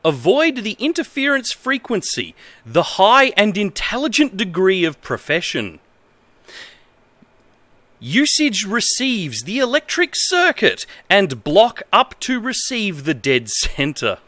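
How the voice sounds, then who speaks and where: A man's voice: sits high, huge pitch swings, slow, pushed and bright, no echo. An Australian man in his thirties, a streamer talking casually and reading aloud in an amused, emphatic way. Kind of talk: casual livestream, halting comedic read-aloud. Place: indoor room at a desk.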